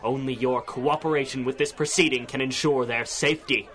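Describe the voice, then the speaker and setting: A man's voice: mid-range voice, fairly even intonation, a little fast, a bit bright, close to an everyday voice. An actor playing a vengeful, theatrical male supervillain in a radio serial, declaiming like an accusing prosecutor. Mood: oratorical. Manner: grandiose, oratorical, accusing. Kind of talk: 1930s radio serial acting.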